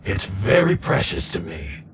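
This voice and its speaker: Smug game villain, gravelly, theatrical taunts, roomy sound under music. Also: male voice, sits low, huge pitch swings, measured pace, shouting, recorded from a distance, noisy background, heavily acted. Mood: gloating. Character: smug, scheming male gang crook, gloating villain. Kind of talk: video game villain acting.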